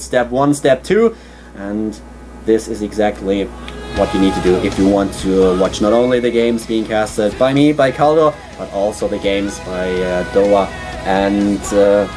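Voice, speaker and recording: A man from Germany with a German accent, casting an esports stream on his own in a small studio, chatty with many fillers and restarts. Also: on the low side. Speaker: esports caster. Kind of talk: solo esports commentary.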